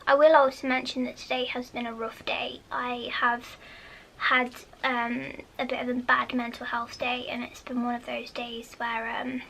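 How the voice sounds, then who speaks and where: A woman with an English accent and a soft, high-pitched, childlike voice, chatting casually in a vlog and often dropping to a whisper. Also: monotone, a little slow, vocal fry, poor audio. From United Kingdom. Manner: chatty, rambling with fillers. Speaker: vlogger.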